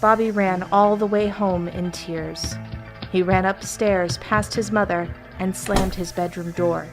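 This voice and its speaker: A woman narrating a scripted horror story in a measured, deliberate way with pauses. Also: mid-range voice, steady tone. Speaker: story reader. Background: music.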